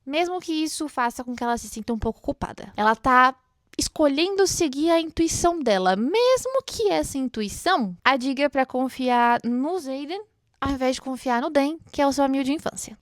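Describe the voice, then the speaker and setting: A Brazilian woman giving casual book commentary to her followers, chatty and expressive, with rhetorical questions and slangy asides. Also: on the high side, no room sound, studio quality. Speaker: book club host.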